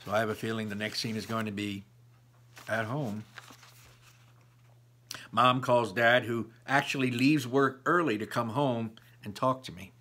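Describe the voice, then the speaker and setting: A man reading a children's novel aloud expressively, with emphatic pauses and thoughtful asides to the listener. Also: mid-range voice, steady tone, sharp tone. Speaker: read-aloud narrator.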